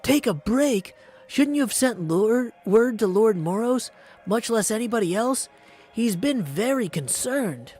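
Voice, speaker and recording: A man's voice: high-pitched, animated, a little slow, darkish tone, husky, soft background music, pitched above the performer's own voice, exaggerated voice. A man voicing an earnest, perplexed young goddess in a video game, speaking formally and questioningly. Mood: concerned, insistent. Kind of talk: video game character practice.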